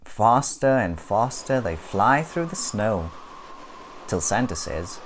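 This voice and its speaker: A woman narrating a rhyming children's storybook expressively, with deliberate, dramatic phrasing. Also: rumbling low, big pitch swings, a little hiss or hum. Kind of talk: expressive rhyming read-aloud for young children.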